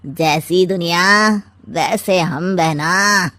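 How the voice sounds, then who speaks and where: An actor playing a sly, scheming middle-aged uncle in a cartoon story, with smug, taunting, theatrically drawn-out rhyming quips. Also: male voice, high-pitched, pushed and bright, no room sound, exaggerated voice. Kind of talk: Hindi cartoon story acting.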